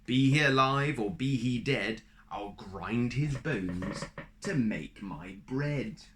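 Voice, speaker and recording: A man's voice: slow, noisy background, close to an everyday voice. A man voicing a huge fearsome male giant who bellows threats in a storybook reading. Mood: menacing. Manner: booming, menacing chant.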